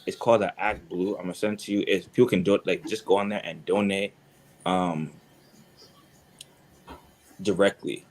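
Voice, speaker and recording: A young man running for office, answering interview questions in a relaxed, hesitant way with some nervous laughs. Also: low voice, moderate pace, pauses often, poor audio. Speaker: congressional candidate.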